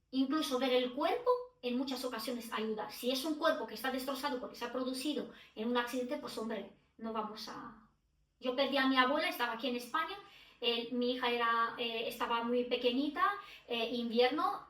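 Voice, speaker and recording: A middle-aged woman talks reflectively on a podcast, often pausing and restarting her sentences. She sits some distance from the mic in an echoey room. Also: a little high, a bit bright. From Romania. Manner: reflective, many restarts and fillers. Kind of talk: podcast conversation.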